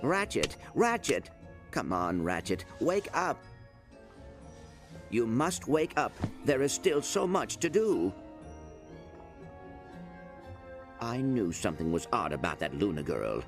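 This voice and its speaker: Polite little robot with swooping intonation. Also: male voice, on the low side, very animated, a little slow. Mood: worried, urging. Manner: formal, careful wording without contractions. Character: small polite robot sidekick, earnest and precise. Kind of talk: video game cutscene acting. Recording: music behind the voice.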